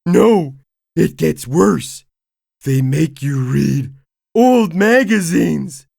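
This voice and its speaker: Woman voicing dim starfish buddy: raised pitch, booming, slow, dopey, dry read. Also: expressive, vocal fry, dark tone, dry recording, full character voice. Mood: dopey, loud. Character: dim-witted friendly male starfish, loud.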